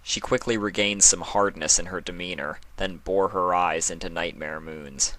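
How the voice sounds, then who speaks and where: A man reading scripted fan fiction narration in a measured, expressive way. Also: low voice, heavy background noise.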